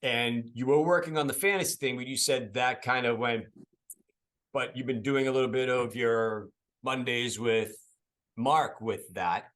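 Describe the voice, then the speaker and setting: A middle-aged man with a Boston accent hosting a podcast, chatty and quick with questions, laughing often. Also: medium pitch, a bit bright. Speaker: podcast host. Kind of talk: casual interview chat.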